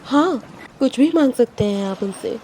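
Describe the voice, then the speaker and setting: A woman's voice: a little high, lively intonation, normal speed, mellow, slight background noise, voice pushed up, close to an everyday voice, low-quality recording. A woman voicing a proud young princess in a dramatized audio story. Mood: polite, curious.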